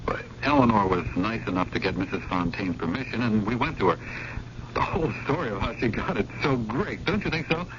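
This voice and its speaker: An actor playing a nervous, eager, scheming younger brother who talks in hesitant, roundabout rambles in a radio drama. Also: male voice, lowish voice, lively intonation, a little fast, natural voice. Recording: lo-fi old radio recording with clear noise.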